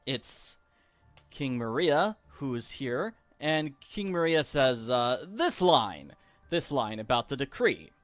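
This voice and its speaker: A man's voice: mid-range voice, big pitch swings, slow pace, fairly bright tone, dry recording. A man giving a casual, playful commentary as he walks through a video game. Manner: playful, jokey. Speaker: video game walkthrough host. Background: faint game music.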